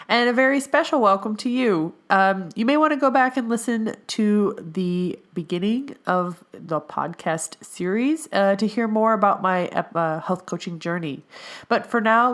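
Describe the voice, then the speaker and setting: A woman's voice: mid-range voice, fairly bright tone. A young adult woman hosting her own podcast, whose voice sounds a bit nasal from allergies, talking casually with many fillers. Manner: chatty, with frequent fillers. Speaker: podcast host, health coach in training. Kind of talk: casual solo podcast monologue.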